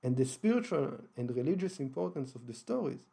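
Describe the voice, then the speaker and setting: A man's voice: medium pitch, big pitch swings, a little fast, vocal fry, mellow, breathy edge. A man with an Israeli accent, hosting his channel and explaining his views on religion carefully, with frequent hesitations and restarts. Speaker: channel host. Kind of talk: unscripted explanatory talk.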